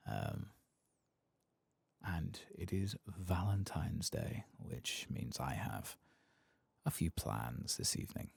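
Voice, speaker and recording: A man giving soft-spoken ASMR game commentary, murmuring and often slipping into whispers close to the microphone. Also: low-pitched, big pitch swings, slow pace, vocal fry, husky, no echo.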